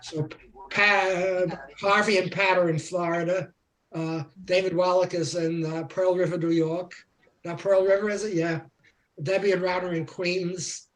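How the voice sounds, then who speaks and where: A man hosting an online song circle, chatting casually and haltingly as he organizes people over a computer mic. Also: high voice, level tone, fairly bright tone, poor audio. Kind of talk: casual chat on a group video call. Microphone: computer mic on a video call.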